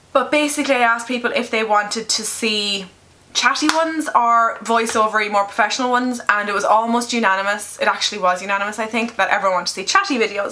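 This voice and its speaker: A young woman chatting casually through a makeup tutorial, candid and quick to swear, a little nasal from a cold, recorded by a camera in a small bedroom. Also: mid-range voice, bright, forceful tone, slight background noise. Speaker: beauty vlogger.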